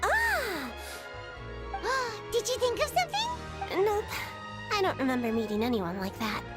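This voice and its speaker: A cheerful, forgetful little girl from a video game, speaks in a very high, swooping voice. She is innocent and a bit hesitant, with simple childlike phrasing, over loud music. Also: sing-song, unhurried, heavily acted. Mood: puzzled, innocent.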